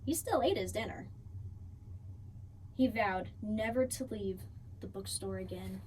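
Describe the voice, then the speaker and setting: A woman reading a picture book aloud for children in an expressive storytelling style, drawing out key words. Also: mid-range voice, measured pace. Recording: distant mic, faint background noise.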